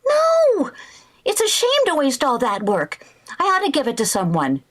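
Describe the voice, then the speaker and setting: A woman playing an excitable, scheming, flighty housewife in a 1940s-style radio comedy, theatrical and full of exclamations. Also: high-pitched, normal speed. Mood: flustered, earnest. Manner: theatrical, exclamatory, mock-innocent. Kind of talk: 1940s radio sitcom acting.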